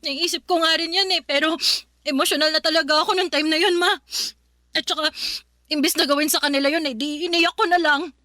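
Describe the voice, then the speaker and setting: A woman playing a heartbroken, soft-hearted daughter in her thirties, tearful, in Filipino radio drama style. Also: high voice, fairly even intonation, a little fast, no echo, clean studio sound. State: crying.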